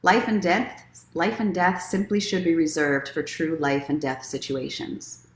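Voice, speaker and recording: A woman's voice: sits low, fairly even intonation, a little slow, echoey room. A woman hosting an online story time, reading aloud expressively and chatting casually in an encouraging, conversational self-help tone. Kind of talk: expressive reading aloud from own book, mixed with casual livestream chat.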